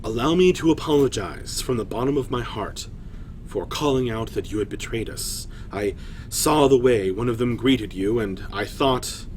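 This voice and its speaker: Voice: mid-range voice, expressive, moderate pace, darkish tone, slight background noise, close to an everyday voice, rough recording. A man playing a noble warrior king in a fantasy story, speaking formally with gracious command. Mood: grateful, formal. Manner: formal, declarative.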